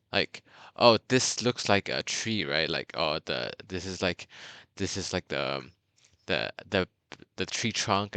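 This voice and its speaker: Low-voiced bright man tutoring. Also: smooth, no room sound. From Burma. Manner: chatty, many fillers and restarts. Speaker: language tutor. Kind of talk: casual video lesson.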